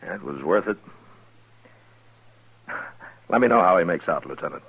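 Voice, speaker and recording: Deep, terse frontier marshal. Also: male voice, lively intonation, a bit bright, slightly rough, full character voice. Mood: measured. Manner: terse, measured, authoritative. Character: steady, terse frontier marshal, grown man. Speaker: radio drama actor. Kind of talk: 1950s radio western acting. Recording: muffled old 1950s radio recording.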